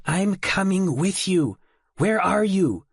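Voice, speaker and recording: Guy doing a high, stubborn starship captain lady in an audiobook, pleading, dry close sound. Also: normal speed, no echo, natural voice. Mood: insistent. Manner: pleading, then resolute and tender. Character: determined young woman starship captain.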